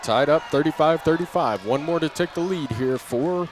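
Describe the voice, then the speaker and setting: High-pitched male basketball play-by-play announcer. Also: normal speed. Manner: clipped running phrases, calling each move as it happens. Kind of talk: live radio-style play-by-play commentary. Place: indoor basketball gym during a game. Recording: crowd and gym noise behind. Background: crowd, bouncing basketball.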